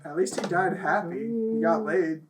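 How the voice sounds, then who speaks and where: A man's voice: sits high, normal speed, crowd noise. A man reading fan fiction aloud and chatting casually about it, with a loose, sweary delivery. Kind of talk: reading a story aloud, then casual chat.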